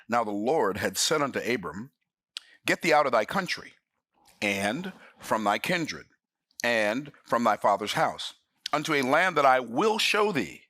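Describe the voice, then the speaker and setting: A man's voice: mid-range voice, sing-song, measured pace, pushed and bright, dry recording, clean studio sound. A man in his sixties teaching the Bible in an emphatic, folksy preaching manner. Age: 60s. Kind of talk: sermon-style Bible study.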